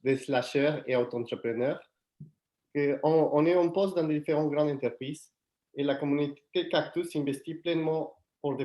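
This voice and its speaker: A man from Mexico with a Mexican Spanish accent introduces his community on a webinar, speaking slowly and carefully. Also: medium pitch, warm tone, echoey room. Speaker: community founder.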